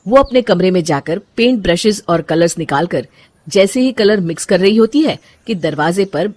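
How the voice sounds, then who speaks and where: A woman's voice: lowish voice, expressive, moderate pace, a little mellow, a little hiss or hum. A woman narrating a scripted cartoon story.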